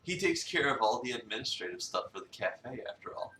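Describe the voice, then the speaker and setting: A man's voice: medium pitch, sing-song, fairly quick, pushed and bright, a little hiss or hum. A man voicing a polite, easygoing handyman from a cafe visual novel. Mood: mild, apologetic. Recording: echoey room, distant mic.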